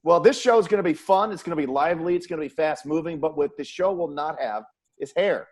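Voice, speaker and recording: A man's voice: a little high, fast talker. A man hosting an upbeat sports chat show with a playful, teasing manner. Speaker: talk show host, sports play-by-play announcer.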